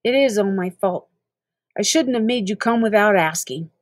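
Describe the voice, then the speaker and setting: A woman's voice: medium pitch, no room sound, studio quality, natural voice. A woman voicing a hesitant, gloomy schoolboy in a storybook, unsure and apologetic. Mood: sorry. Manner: halting, unsure.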